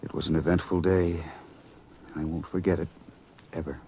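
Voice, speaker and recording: A man acting an earnest, conscientious disciple in a religious radio drama, with formal, deliberate phrasing. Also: low-pitched, level tone, slight background noise. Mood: reflective. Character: Andrew, earnest male disciple, conscientious and troubled. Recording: muffled radio recording with music.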